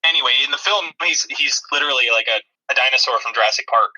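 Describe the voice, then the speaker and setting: A man's voice: mid-range voice, fast, pushed and bright, poor audio. A man co-hosting a podcast, chatting casually about films with wry, dry-humoured asides. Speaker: podcast co-host. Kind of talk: casual movie review chat.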